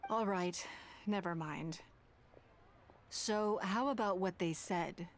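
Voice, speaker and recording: An actress playing a composed, authoritative lady in a video game, speaking in a measured, articulate way. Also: on the low side. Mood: gentle, reassuring. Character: poised, composed adult lady of authority, kindly but stern.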